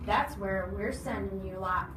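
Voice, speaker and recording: A woman's voice: medium pitch, a little slow, natural voice. A woman voicing a menacing, sneering policeman who talks down to people with threats. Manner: threatening, condescending. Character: menacing policeman, sneering, softly bullying a young boy. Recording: noisy echoey room, distant mic.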